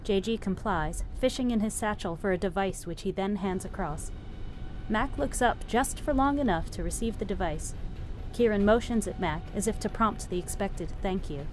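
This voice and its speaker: A woman's voice: mid-range voice, normal speed. A woman narrating screenplay stage directions as scripted narration. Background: music.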